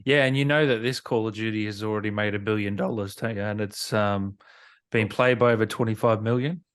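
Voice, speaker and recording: A man's voice: lowish voice, steady tone, brisk, smooth. A man interviewing a guest casually as a show host, informal and agreeable, with encouraging interjections.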